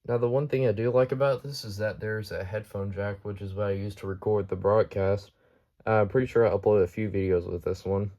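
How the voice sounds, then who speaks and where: A man's voice: lowish voice, steady tone, a little fast, mellow, muffled recording. A man giving a casual, off-the-cuff show-and-tell narration as the host of a collection video. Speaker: host showing a weather radio collection.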